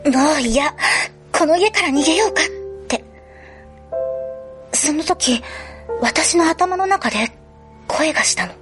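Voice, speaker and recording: A troubled schoolgirl acted by a woman in a radio drama, with a high, ladylike voice. She speaks in an emotional, confessional way over clearly audible music. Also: expressive, slow pace, long pauses. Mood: pained confession. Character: troubled, polite schoolgirl fearing her violent second self. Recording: music behind the voice.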